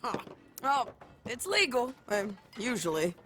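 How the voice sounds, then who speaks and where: An actress playing a brash, hearty frontier bounty hunter in a video game, folksy and drawling. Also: female voice, fairly high pitch, very animated, measured pace, music in the background. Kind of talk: dramatic video game acting.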